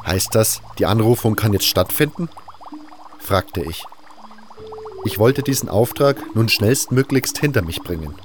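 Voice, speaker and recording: A blunt, weary adventurer, acted by a man with a fairly low voice, in a fantasy audio drama. He moves between first-person narration and dialogue. Ambient noise is audible behind him. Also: expressive, measured pace. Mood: weary, sardonic. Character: blunt, weary male mercenary hero narrating his quest. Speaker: narrator and protagonist.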